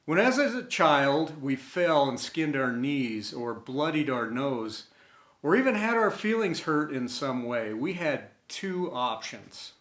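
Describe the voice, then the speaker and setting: A man reading a scripted inspirational tribute in an earnest, sentimental way, with deliberate dramatic pauses between short phrases. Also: medium pitch, big pitch swings, fairly bright tone. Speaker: storyteller and host of an inspirational series. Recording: roomy, echoey sound.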